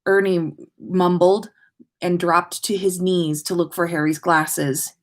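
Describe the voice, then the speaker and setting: A woman's voice: lowish voice, little pitch movement, takes it slowly. A woman hosting a livestream, chatting informally and reading stories aloud with expression. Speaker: podcast livestream host reading listener stories. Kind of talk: casual livestream chat and expressive story reading.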